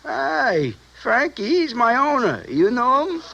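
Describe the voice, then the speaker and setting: Slow-witted, punch-drunk boxer with swooping pitch. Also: male voice, sing-song, gravelly, bright, forceful tone, lo-fi sound, slight background noise, exaggerated voice. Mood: simple, trusting. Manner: simple, halting, bewildered, ungrammatical speech. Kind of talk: 1950s radio drama acting. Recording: vintage radio drama recording.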